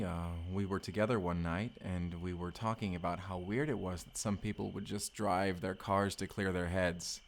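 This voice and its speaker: A man playing a tender, boyish husband from the future in an intimate, bashful, rambling romantic audio roleplay. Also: sits low. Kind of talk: romantic audio roleplay acting, addressed to listener.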